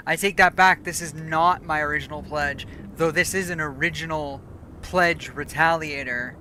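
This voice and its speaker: A woman's voice: low-pitched, sharp tone, a little hiss or hum. A woman streaming a game, chatting casually and rambling, correcting herself as she goes, her voice starting to go. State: losing her voice. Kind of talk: casual live gameplay chat.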